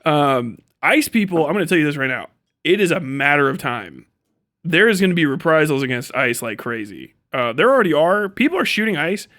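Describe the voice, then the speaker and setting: Animated, high-pitched man on a livestream. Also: fairly quick. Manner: profane, blunt, slangy; animated, acts out imagined dialogue. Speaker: livestream host. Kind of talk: casual unscripted livestream monologue.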